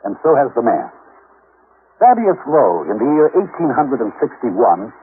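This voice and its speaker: A man announcing in a formal, measured, declamatory 1950s scripted narration style. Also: mid-range voice. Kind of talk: 1950s scripted military public service narration. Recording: noisy, tinny old radio recording.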